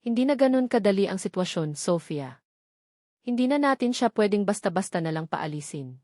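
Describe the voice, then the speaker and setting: A woman's voice: mid-range voice, expressive, warm tone, no echo, studio quality, lightly acted. Woman putting on a grave, stern Filipino matriarch. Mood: grave, disappointed. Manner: grave, measured. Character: stern, scheming wealthy matriarch, grave and disappointed. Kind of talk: dramatized romance storytelling.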